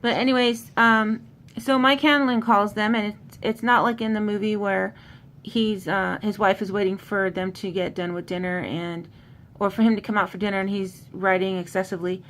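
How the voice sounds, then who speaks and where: A woman's voice: steady tone, moderate pace, a bit bright. A woman giving a casual, conversational book recap with commentary, speaking informally and using slangy turns of phrase. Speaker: host of a horror book recap. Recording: clearly noisy, low background rumble.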